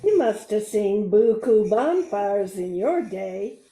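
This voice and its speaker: A woman's voice: medium pitch, big pitch swings, takes it slowly, dark tone. A woman playing a hospitable Cajun bayou storyteller in an amateur radio play, recorded in an echoey room. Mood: storytelling. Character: hospitable Cajun bayou woman telling local Christmas lore.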